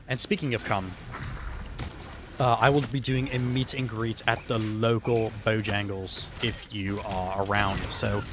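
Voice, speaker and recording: A hoarse man rambling through an absurd story over gameplay, full of fillers and restarts. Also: lowish voice, measured pace, background noise. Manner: rambling, filler-heavy, absurd deadpan tales. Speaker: gaming YouTuber.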